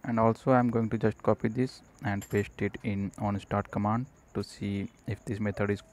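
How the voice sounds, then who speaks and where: A man narrating an unscripted screencast tutorial, explaining step by step in a halting way. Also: lowish voice, flat delivery, darkish tone. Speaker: programming tutorial presenter. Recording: noticeable background noise.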